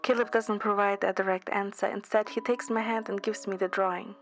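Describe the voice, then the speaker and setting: A woman's voice: mid-range voice, little pitch movement, fairly quick. Woman narrating a story over music. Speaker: amateur narrator and voice reader. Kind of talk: scripted first-person story narration. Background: background music.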